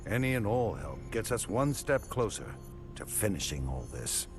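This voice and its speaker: An actor playing a grave, confident and commanding knight commander in a fantasy video game. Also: male voice, fairly low pitch, big pitch swings, moderate pace, over music. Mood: urgent. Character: grim, confident knight commander, weary but resolute man.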